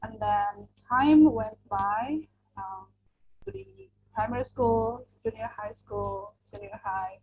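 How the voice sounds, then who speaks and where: A woman's voice: mid-range voice, slow, darkish tone, slight background noise, low-quality recording. A young adult woman from Indonesia, an English teacher whose first language is Indonesian, answering interview questions earnestly with many fillers and restarts.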